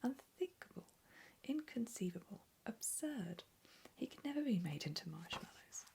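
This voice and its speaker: A woman in a whispered storybook reading, voicing a fussy, eccentric gentleman. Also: on the high side, big pitch swings, moderate pace, gravelly, slight background noise, pitched above the performer's own voice. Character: fussy eccentric man, chocolate maker, reassuring.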